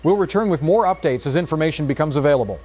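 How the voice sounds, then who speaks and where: A man playing a solemn emergency broadcaster, reading a formal, measured bulletin in a radio drama. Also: a little high, expressive, darkish tone. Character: solemn male emergency broadcaster addressing besieged townsfolk. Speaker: radio news announcer. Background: music.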